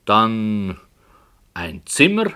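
A man playing a brooding writer in a radio play, solemnly and hesitantly telling a dark tale with earnest, dramatic emphasis. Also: lowish voice, wildly swooping pitch, clear, resonant tone, heavily acted. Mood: intense, solemn. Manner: slow, hesitant, earnest, dramatic swells and hushes. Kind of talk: 1960 German radio play, literary storytelling.

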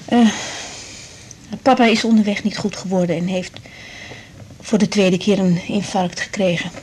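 A woman's voice: medium pitch, steady tone, moderate pace, a little mellow, slight background noise, natural voice. An actress playing a young woman doctor, a restrained and protective daughter, speaking hesitantly in a 1980s radio drama. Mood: careful, grave. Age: young adult. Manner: hesitant, subdued.

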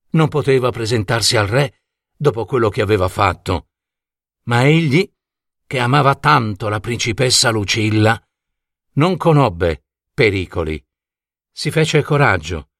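A man reading a fairy tale for children aloud in a slow, expressive storybook way. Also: mid-range voice, dry recording, clean studio sound.

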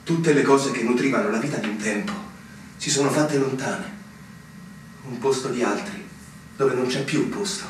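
A man's voice: mid-range voice, moderate pace, long pauses, lightly acted. A man playing an earnest, melancholy young army lieutenant in a radio drama, solemn and literary in delivery. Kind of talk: theatrical radio drama acting. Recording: very echoey stage, distant mic, hiss.

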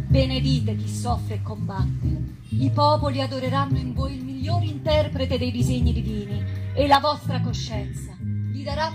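Lady doing fervent visionary patriot speech, high voice, stagey, guitar music loud behind. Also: lightly acted, rough recording. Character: fervent patriot's letter, exhorting, lofty and visionary. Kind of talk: theatrical declamation. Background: live guitar music.

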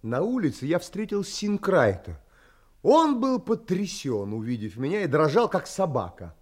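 An actor playing an earnest, composed gentleman hero who narrates a seafaring audio play in an expressive, theatrical way. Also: fairly high pitch, a little slow. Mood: reflective, grave.

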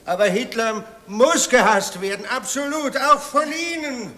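A man's voice: extremely high pitch, measured pace, pushed and bright, heavily acted. A man in a German radio drama playing an ageing drunken writer, bitter and self-mocking, theatrical, moving between muttering and outbursts. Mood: ranting, agitated.

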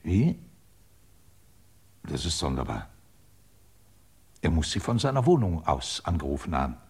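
Radio drama role: businesslike senior official in his seventies, rough lowish voice, factual telephone-call delivery. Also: darkish tone, heavily acted. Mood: pensive, guarded. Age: 70s. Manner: formal, clipped, factual.

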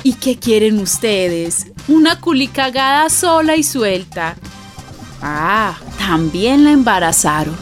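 A woman's voice: medium pitch, very animated, takes it slowly, soft background music. An actress playing an earthy, chatty Colombian woman narrating a radio drama, confiding and colloquial as she recalls a friend. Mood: confiding, wry.

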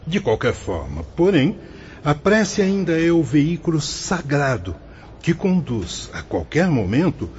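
A man acting as a wise, fatherly spirit guide in a radio drama, patient and solemn, explaining in formal, measured phrases. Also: on the high side, very animated, a little mellow, slight background noise. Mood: gently correcting. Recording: dull lo-fi audio with music behind.

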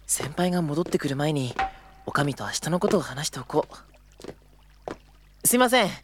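A young tour guide who is earnest, courteous and easily thrown. The voice is light and youthful, in an audio drama recording with some clear noise behind it. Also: male voice, on the high side, expressive, unhurried. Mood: pensive. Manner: polite, hesitant. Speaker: tour company employee.

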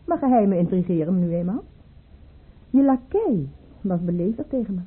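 An actress playing a proud, coquettish, insistent baroness in an old-fashioned radio drama, arch and theatrical, with a husky, breathy voice. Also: female voice, medium pitch, lively intonation, moderate pace. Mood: arch, teasing. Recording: muffled, phone-like old radio recording.

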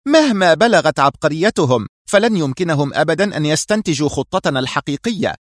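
A man voicing a tense, angry, defiant female spy with a nervy, sharp manner in a story reading. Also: a little high, big pitch swings, fast talker, no room sound, clean studio sound, pitched above the performer's own voice. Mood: irritated, defensive.

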